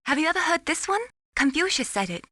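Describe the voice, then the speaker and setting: Young tech girl in a video game, bright highish voice, sincere n a bit teasing, studio dry. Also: very animated, quick pace, dry recording, exaggerated voice. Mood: polite, coy. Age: young adult. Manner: earnest, proverb-quoting, gently teasing. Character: earnest young female radar technician quoting proverbs. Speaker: mission support operator. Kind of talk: scripted video game voice acting.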